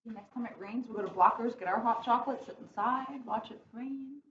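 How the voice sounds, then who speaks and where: Casting: female officer, relaxed conversational co-presenter, fairly high pitch, muffled lo-fi sound. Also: a little fast. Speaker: police officer co-presenting a story reading. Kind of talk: casual chat. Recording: roomy, dull lo-fi audio, faint noise.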